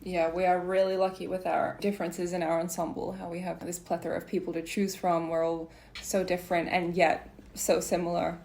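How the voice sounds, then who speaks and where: Young woman podcast host, slightly low pitch, supportive and appreciative, conversational delivery. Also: little pitch movement, fairly quick, creaky, darkish tone, a little hiss or hum. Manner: encouraging, appreciative. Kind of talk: casual interview chat.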